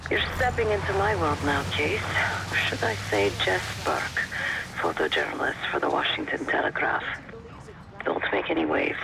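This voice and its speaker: An actor playing a confident, breezy undercover agent coaching a teammate in a video game. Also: male voice, sits high, normal speed, creaky, background noise. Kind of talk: video game cutscene acting. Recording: loud music behind voice.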